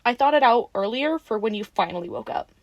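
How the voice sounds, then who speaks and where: Woman acting as a friendly excitable young woman, rambly n teasing, casual roleplay chat, super dry recording. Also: fairly high pitch, fairly quick, no room sound. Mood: friendly, chatty. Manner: rambling, playfully defensive, casual. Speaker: audio roleplay voice actor. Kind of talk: ASMR audio roleplay acting, conversational.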